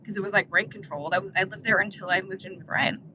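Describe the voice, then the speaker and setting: A woman's voice: medium pitch, steady tone, racing. Hesitant woman telling a story in a car. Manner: hesitant, lots of "um" and "like". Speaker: guest. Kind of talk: casual storytelling. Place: inside a car. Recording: noisy car interior.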